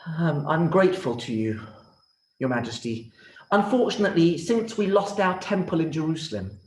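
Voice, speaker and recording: A man's voice: a little high, sing-song, darkish tone, pitched above the performer's own voice. Man playing a courteous rabbi, echoey room. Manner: measured, formal, polite. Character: respectful, courteous male rabbi in a children's story. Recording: roomy, echoing sound.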